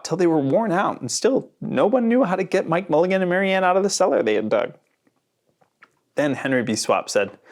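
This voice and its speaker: Storybook reader, really animated and dramatic, mid pitched voice. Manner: dramatic, with sound words and slowed emphasis. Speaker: storybook narrator. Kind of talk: expressive children's storybook read-aloud.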